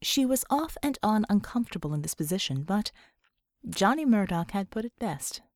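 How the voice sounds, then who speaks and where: A woman's voice: mid-range voice, very animated, moderate pace, darkish tone, no echo, studio quality. A woman narrating scripted fiction as an audiobook reader.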